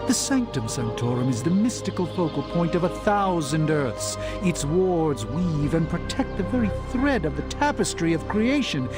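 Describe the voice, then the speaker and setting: An actor playing a grave, pompous sorcerer in a video game, speaking in formal, lofty, verbose phrases. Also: male voice, a little high. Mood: grandiose. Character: pompous, grave male sorcerer, master of mystic arts. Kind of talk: theatrical video game dialogue. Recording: loud music under the voice.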